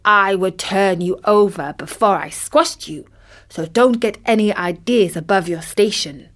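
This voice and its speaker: A woman's voice: mid-range voice, a little slow, fairly bright tone, close to an everyday voice. A woman voicing a large, smug hippopotamus in an animal fable, haughty and condescending. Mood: smug warning.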